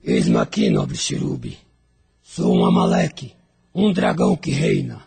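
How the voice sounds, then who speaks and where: Gravelly, sneering dragon lord. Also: male voice, mid-range voice, wildly swooping pitch, darkish tone, soft background music, full character voice. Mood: haughty. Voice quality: raspy, gravelly. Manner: slow, sneering, theatrical. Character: arrogant, mocking dragon lord of dark phalanxes. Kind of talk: audio drama acting.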